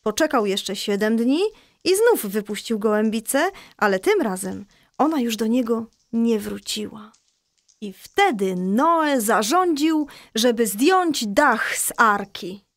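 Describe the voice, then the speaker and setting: A patient mother in a children's radio play. Her voice sits fairly high and is recorded close and dry. She tells a Bible story in a reassuring, explanatory way. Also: animated, takes it slowly, dry recording. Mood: patient, explaining. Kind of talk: scripted children's audio drama storytelling.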